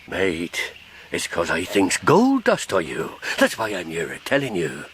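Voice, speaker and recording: An actor playing a menacing, smooth-talking pirate mutineer in a radio drama, coaxing with a veiled threat. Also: male voice, sits low, huge pitch swings, a little slow, a bit bright, slight background noise, heavily acted. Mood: coaxing menace.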